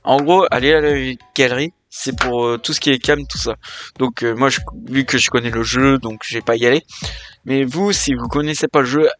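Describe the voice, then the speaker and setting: A man's voice: medium pitch, steady tone, fairly quick, fairly bright tone. A young man giving casual let's-play commentary, rambling and correcting himself as he chats to viewers. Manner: rambling, self-correcting, chatty. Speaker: gaming video creator. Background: game music.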